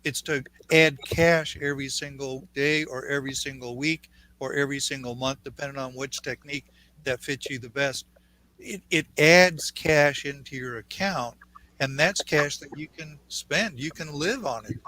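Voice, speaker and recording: A man's voice: medium pitch, normal speed, bright, forceful tone, rough recording. A man on a live finance panel who explains points emphatically, repeating words as he goes.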